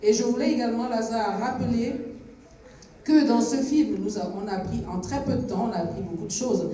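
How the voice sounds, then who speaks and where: This is a Cameroonian woman with a fairly high, mellow voice, leading a film club discussion. She engages her young listeners like a teacher, putting questions to them. The sound is very echoey, as if caught away from the microphone in a big hall. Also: fairly quick, far from the mic. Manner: engaging, asks questions, switches between French and English. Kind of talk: addressing a young audience, didactic. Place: screening hall with audience.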